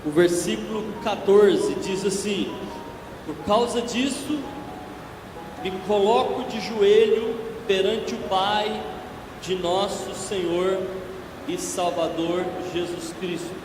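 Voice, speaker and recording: A hoarse man preaching a church sermon, slow and emphatic, growing more forceful as he goes. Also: high voice, over music. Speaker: evangelist preacher. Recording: echoey church with long reverb.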